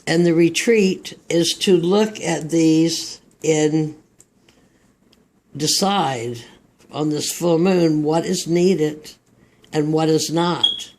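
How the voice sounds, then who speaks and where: Slow, gravelly Texan man reading tarot. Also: on the high side. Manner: slow, meandering, reflective delivery. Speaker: tarot reader.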